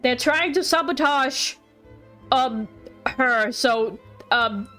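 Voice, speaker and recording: High-voiced excitable woman streamer. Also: slow pace. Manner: excitable, playful, joking. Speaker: game streamer. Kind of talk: casual live game commentary. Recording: faint game music behind.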